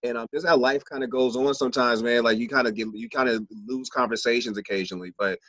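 A man's voice: mid-range voice, steady tone, fast talker, fairly bright tone, dry recording, muffled recording. A man hosting a casual podcast interview, chatty and upbeat with loose restarts. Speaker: podcast host.

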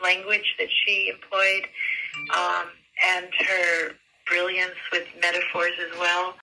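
A woman's voice: lowish voice, monotone, slow, vocal fry, sharp tone, faint music behind, poor audio. A woman in her seventies giving a reflective interview, thoughtful and articulate, speaking in short considered phrases. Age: 70s. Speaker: interviewee, poet discussing her former teacher.

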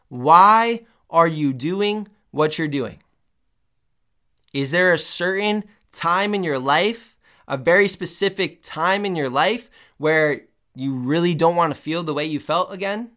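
Energetic, slightly nasal male fitness host. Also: high voice. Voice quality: a little nasal. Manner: energetic, emphatic, repeats key phrases. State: recovering from the flu. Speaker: podcast host. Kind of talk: motivational fitness podcast talk.

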